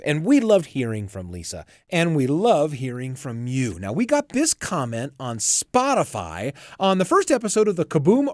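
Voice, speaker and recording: A man's voice: mid-range voice, a little mellow, dry recording, clean studio sound. A man hosting a podcast with friendly, enthusiastic scripted narration. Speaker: podcast host.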